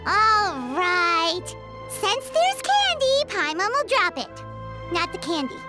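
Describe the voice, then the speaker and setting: A woman voicing a tiny, blunt, excitable childlike fairy companion in a video game, who talks about herself by name. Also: very high voice, takes it slowly, loud music behind the voice, heavily acted. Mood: miffed. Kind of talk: cartoonish video game voice acting.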